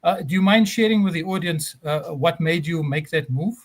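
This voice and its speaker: A man's voice: on the high side, normal speed. A man hosting a live stream, who opens with a scripted speech built on repeated phrases and then talks more loosely with fillers as he welcomes a guest. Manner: rhetorical, building lists with repeated phrases; fillers and uh, um in unscripted talk.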